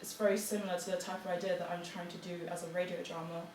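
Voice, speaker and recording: A young woman gives an informal student presentation, halting and hesitant. Her voice sits a little low and is picked up from a distance in an echoey room with clear background noise. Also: little pitch movement, darkish tone. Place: room in front of an audience.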